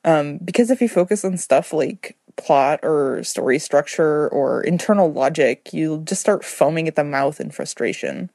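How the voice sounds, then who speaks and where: A woman's voice: deep voice, expressive, normal speed, hardly any pauses, a little mellow, dry recording, clean studio sound. A woman listener giving casual recorded commentary for a podcast.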